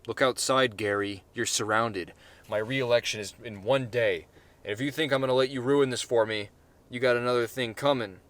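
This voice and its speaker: A man's voice: mid-range voice, steady tone, normal speed, fairly bright tone, lightly acted. Brief: man voicing a smug, threatening politician over the radio.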